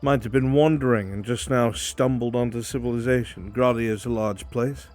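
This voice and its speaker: A man's voice: medium pitch, steady tone, normal speed, darkish tone, faint music behind, lightly acted. A man playing a terse, authoritative monster-hunting inquisitor in an improvised fantasy roleplay. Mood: grave, measured. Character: commanding, grave monster-hunting inquisitor, military superior.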